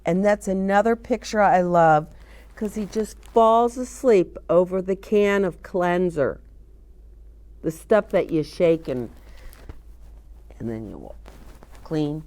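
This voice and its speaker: A woman reads a picture book aloud for young children. Her pitch swings in a lively way and she takes her time over the words. As a storytime host, she is expressive and adds chatty asides to her listeners. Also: slow, pauses often.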